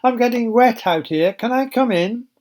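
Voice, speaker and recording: A man's voice: very high-pitched, moderate pace, a little mellow, pitched above the performer's own voice. A man voicing a friendly male fugitive in a children's Bible story, calling out in an eager, appealing way.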